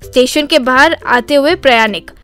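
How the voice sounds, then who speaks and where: A woman's voice: high voice, normal speed, fairly bright tone, clean tone, soft background music. A woman narrating a scripted children's story as the storyteller.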